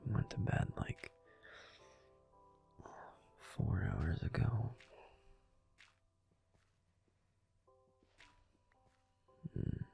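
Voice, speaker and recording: A man's voice: deep voice, wildly swooping pitch, slow, faint music behind, full character voice. A man voicing a grumpy but tender male werewolf boyfriend in a whispered bedtime audio roleplay, fussing protectively and then soothing. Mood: grumbling. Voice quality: gruff and soft whispering.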